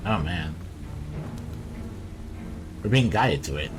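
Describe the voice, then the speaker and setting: A man's voice: low-pitched, expressive, slow, music in the background. A man giving casual, offhand gameplay commentary, muttering and swearing as he goes. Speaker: gameplay video creator.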